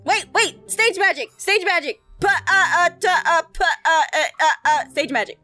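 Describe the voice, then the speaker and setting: Woman narrator, high-pitched, swooping intonation, playful, giggly, comic fan fiction reading, music underneath. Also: expressive, moderate pace, sharp tone. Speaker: host and narrator. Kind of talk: reading fan fiction aloud, improvised comedy.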